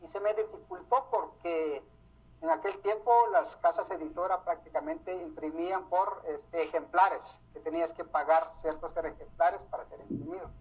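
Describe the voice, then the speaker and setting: Man, middle-aged, Mam speaker, husky, halting with many fillers, radio recording. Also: a little high, fairly even intonation. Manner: hesitant, many fillers and restarts. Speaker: author presenting his book. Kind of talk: unscripted talk. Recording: muffled radio broadcast sound.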